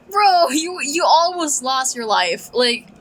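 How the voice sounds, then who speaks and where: A woman's voice: sits high, animated, takes it slowly, fairly bright tone, slight background noise. A woman giving casual, teasing, giggly commentary over a game playthrough. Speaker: gamer playing through a story quest. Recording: game music behind.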